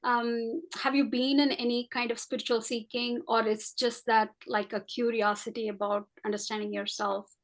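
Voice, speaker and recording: A woman life coach leading a coaching dialogue, asking gentle questions with soft fillers. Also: fairly high pitch, level tone, normal speed, hardly any pauses. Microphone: video call.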